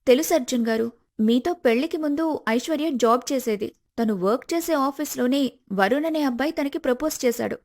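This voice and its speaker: Lady doing a polite young woman eager to help, high voice, respectful, cartoon moral story. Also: brisk, darkish tone. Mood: earnest, helpful. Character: polite, grateful young woman, willing informant. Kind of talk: animated moral story voice acting.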